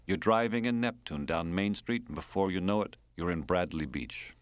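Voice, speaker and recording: A man's voice: low-pitched, normal speed, dry recording, natural voice. A man reading an audiobook as an earthy, wry American man reminiscing, speaking in clipped colloquial phrases with an American accent. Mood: fond, plain.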